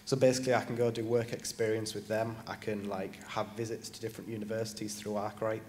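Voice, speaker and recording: Teen male student, casual explainer, slightly low voice, reverberant hall. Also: flat delivery, fast, darkish tone, slight background noise. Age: teens. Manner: casual, many basicallys and likes. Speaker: student panelist. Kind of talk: panel conversation answers. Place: large conference stage. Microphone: handheld mic.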